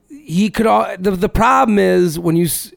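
A man's voice: high voice, unhurried, vocal fry, no echo, studio quality. A man co-hosting a podcast, chatting casually, reading listener emails aloud and swearing freely. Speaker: podcast co-host. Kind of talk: casual chat.

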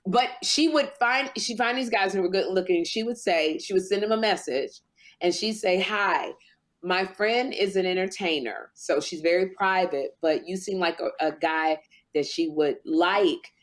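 A woman chatting casually in a group, telling long drawn-out stories. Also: fairly low pitch. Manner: storytelling, drawn-out phrasing.

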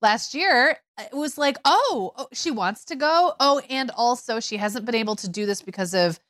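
A woman in her forties in casual conversation, telling family stories in an animated way with lots of fillers. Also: fairly high pitch, normal speed, no room sound, clean studio sound. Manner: chatty, animated storytelling with fillers.

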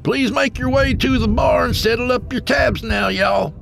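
A man's voice: fairly high pitch, very animated, a little slow, gravelly, a bit bright, soft background music, voice pushed up, full character voice. A man voicing a friendly, courteous saloon bartender with a folksy Southern US accent in an audiobook story. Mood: hollering.

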